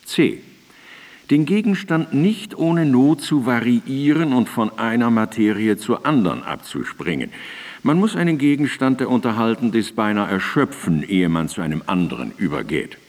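An actor playing an earnest philosopher, reciting formal prose in a deliberate, emphatic radio drama manner. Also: male voice, mid-range voice, very animated, a little mellow. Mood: earnest, instructive. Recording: reverberant hall sound.

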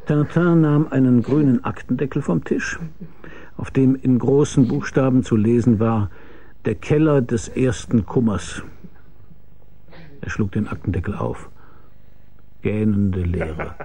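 Guy as a dry detective telling the story in a radio play, gravelly and mellow. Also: medium pitch, a little slow, pauses often, a little hiss or hum, lightly acted. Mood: wry. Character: laconic male detective narrating his own case. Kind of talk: scripted first-person narration in radio drama.

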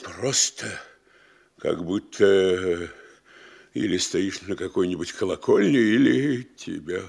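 An actor playing a blustering, anxious middle-aged provincial mayor in a classical comedy, grumbling in drawn-out, emphatic phrases. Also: male voice, low-pitched, gravelly, darkish tone, heavily acted. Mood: uneasy, musing. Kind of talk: Russian classical stage comedy radio acting.

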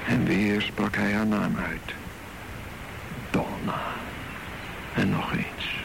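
A man narrating a radio drama in slow, deliberate storytelling with pauses. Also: fairly low pitch, fairly even intonation, gravelly, noisy background.

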